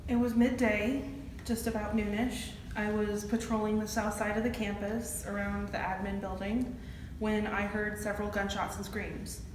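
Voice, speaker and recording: A woman's voice: medium pitch, natural voice. A woman acting a shaken, plain-spoken campus safety officer testifying in an amateur courtroom drama. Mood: earnest. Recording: echoey, distant room with clear noise.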